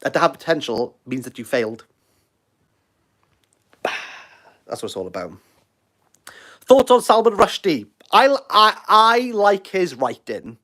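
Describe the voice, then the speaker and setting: A man's voice: on the high side, big pitch swings, slow pace, long pauses, bright, forceful tone. A Welsh man in his thirties hosting a casual livestream, rambling and self-deprecating as he answers viewer comments. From Wales. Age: 30s. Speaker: livestream host.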